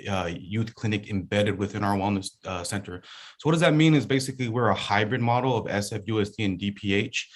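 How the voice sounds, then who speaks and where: A man speaking as a school wellness coordinator, giving a relaxed, chatty welcome talk full of fillers over a video call. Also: lowish voice. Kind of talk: casual welcome talk to students and parents.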